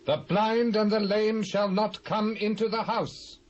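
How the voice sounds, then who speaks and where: Defiant ancient defender for audio Bible drama: high, taunting, declamatory, muffled cassette sound. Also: male voice, steady tone, measured pace. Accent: British. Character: defiant taunting male Jebusite city defender. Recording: dull, band-limited old cassette.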